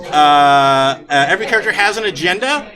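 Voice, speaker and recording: High-pitched man running a live tabletop game. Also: expressive, brisk, sharp tone. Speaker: game master. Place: live event at a gaming convention. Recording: live convention hall with crowd noise. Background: audience crowd.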